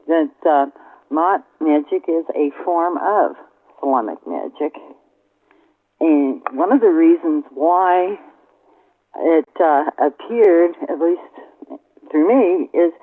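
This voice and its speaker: A middle-aged woman interviewed by telephone, explaining in deliberate, precise phrases over a narrow line. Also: deep voice. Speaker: interview guest, occult author. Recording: tinny narrow phone line.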